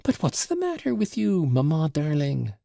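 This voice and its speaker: A capricious invalid girl snaps out orders and teases in a raised, lively voice, as a male audiobook reader puts her on. The sound is gravelly and husky, close and dry. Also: on the high side, normal speed, dry recording, voice pushed up, full character voice. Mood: mocking. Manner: sharp, bossy and mocking.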